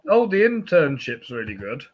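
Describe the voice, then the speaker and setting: A man's voice: medium pitch, big pitch swings, normal speed, muffled recording, slight background noise. Man chatting casually as podcast co-host. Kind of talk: casual film chat.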